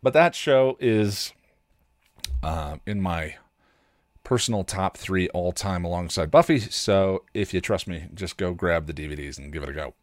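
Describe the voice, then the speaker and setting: A man's voice: low voice, measured pace, no room sound, clean studio sound. A man hosting a podcast, giving casual recommendations in an enthusiastic, rambling way, thinking aloud as he goes. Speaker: podcast host recommending shows. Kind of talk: casual chat, streaming recommendations.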